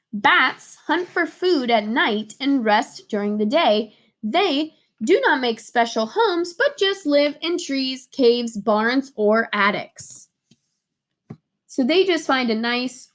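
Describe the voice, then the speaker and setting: A woman with a high-pitched voice leads a read-aloud lesson for young children. She takes her time, explaining in simple words, repeating key words for emphasis in a playful teacher's manner. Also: fairly bright tone.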